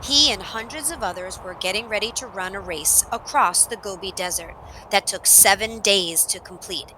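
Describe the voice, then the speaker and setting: A woman narrating a children's story in an expressive read-aloud style, with dramatic emphasis on action words. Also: mid-range voice, moderate pace, background noise.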